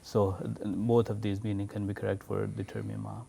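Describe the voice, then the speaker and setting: A man's voice: fairly low pitch, little pitch movement, moderate pace, creaky, warm tone, slightly rough. A man from Pakistan, an imam patiently explaining his faith in an interview. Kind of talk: interview answers.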